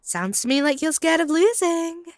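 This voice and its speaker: A woman's voice: extremely high pitch, animated, moderate pace, no room sound, studio quality. A woman playing a possessive, haughty female vampire in a comedic roleplay audio drama, taunting and doting in a theatrical way. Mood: flustered, defiant.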